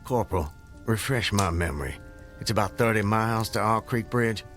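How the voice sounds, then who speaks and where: An actor playing a proud, fervent planter in a radio drama, formal and grandiloquent. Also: male voice, on the low side, lively intonation, normal speed, fairly bright tone, faint music behind. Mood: intent. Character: proud, fervent Southern gentleman planter and husband.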